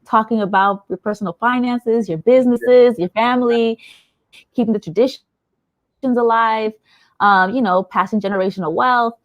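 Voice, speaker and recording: A woman's voice: fairly high pitch, normal speed, no room sound, lo-fi sound. A young adult woman from San Diego, chatting casually as an interview guest and laughing often. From San Diego, USA. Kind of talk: casual chat.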